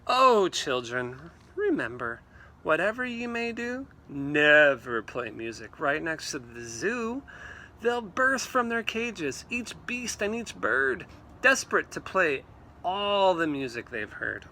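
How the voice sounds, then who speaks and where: A man reading a storybook aloud to children in an animated, theatrical way, with exclamations and asides. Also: a little high, slow pace, slight background noise. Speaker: read-aloud teacher.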